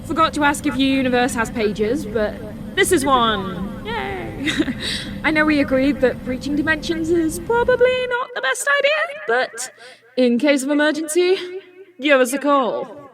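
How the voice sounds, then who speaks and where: A woman's voice: sits high, very animated, a little slow. A woman playing a brainy, self-assured teenage superhero with a friendly, nerdy manner in an audio drama.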